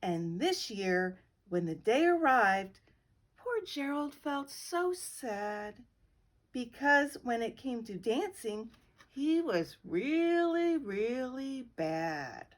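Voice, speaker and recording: A middle-aged woman reading a children's picture book aloud with slow, expressive storytelling. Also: on the high side. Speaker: guest reader.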